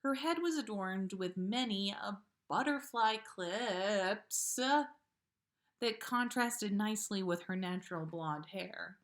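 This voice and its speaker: A woman narrates a story for a livestream audience. Her pace is slow and measured, with pauses between phrases, and her voice sits at an ordinary pitch for a woman. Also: fairly bright tone. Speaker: podcast host reading listener fan fiction. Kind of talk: story read aloud on a livestream.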